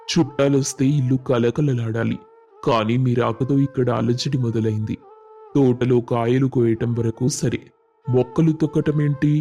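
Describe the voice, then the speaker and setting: Guy playing older village family man, even mellow voice, reproachful, cartoon moral story, faint music. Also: medium pitch, close to an everyday voice.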